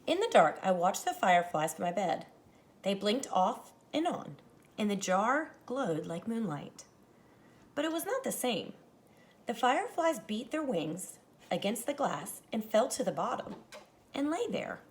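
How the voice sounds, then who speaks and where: A woman teacher reading a picture book aloud to children, expressive and dramatic, speaking to them directly. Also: mid-range voice, a little slow. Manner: animated, dramatizes the story lines, addresses children directly. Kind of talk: expressive children's read-aloud with explanatory asides.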